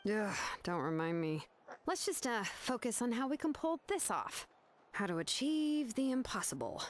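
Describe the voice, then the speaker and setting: A woman's voice: fairly high pitch, very animated, moderate pace, slight background noise. Wry, exasperated young craftswoman. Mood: resigned. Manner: hesitant, sighing, wry. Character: young woman master artisan, laid-back yet exasperated and dutiful. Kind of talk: anime game voice acting.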